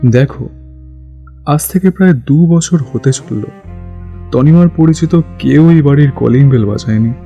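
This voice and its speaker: A man's voice: mid-range voice, moderate pace, vocal fry, dark tone, highs cut off, natural voice. An actor playing a middle-aged father who confides earnestly and sadly about his grown daughter in an audio drama. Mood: confiding, worried. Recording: music under the voice.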